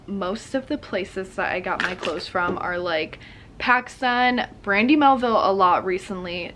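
A woman's voice: medium pitch, a bit bright, slight background noise. A young woman in her twenties chatting casually to camera while doing her makeup at home, with a slightly congested, sore throat. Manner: chatty, lots of "like" fillers. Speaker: beauty vlogger. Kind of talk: casual get-ready-with-me chat. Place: bedroom at home. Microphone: camera in front, close framing.

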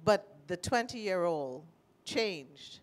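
A middle-aged woman with a Trinidadian accent, sharing a personal story at a panel with animated, emotional delivery, speaking into a handheld mic. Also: lowish voice, very slow. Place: panel stage in a large indoor room.

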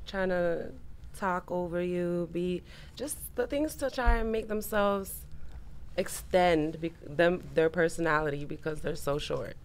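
A woman's voice: on the low side, unhurried, a little hiss or hum. A woman in her twenties from Iran with a Persian and Canadian accent, a podcast guest giving short, easygoing answers. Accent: Persian mixed with Canadian. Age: 20s. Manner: laid-back, short answers. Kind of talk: casual interview chat. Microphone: headphones and desk mic.

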